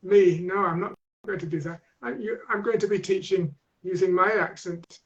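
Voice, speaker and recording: A man's voice: high-pitched, steady tone, normal speed, a bit bright, dull audio. A man with a Manchester accent, a teacher trainer answering interview questions in a thoughtful, hesitant way with many restarts. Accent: Manchester English.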